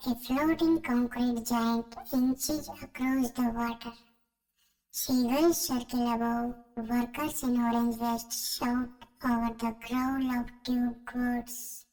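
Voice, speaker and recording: Man as a slow cartoon creature, voice-changed. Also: very high-pitched, steady tone, vocal fry, a little mellow, pitched above the performer's own voice, heavily acted, low-quality recording. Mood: neutral reading. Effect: real-time voice changer. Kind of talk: reading aloud in character voice.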